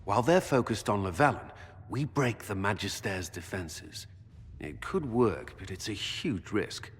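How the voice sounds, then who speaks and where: An actor playing a firm, blunt and cautious military commander with a husky voice in a fantasy video game. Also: male voice, fairly low pitch, lively intonation, unhurried, a little hiss or hum. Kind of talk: dramatic video game acting. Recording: long hall reverb.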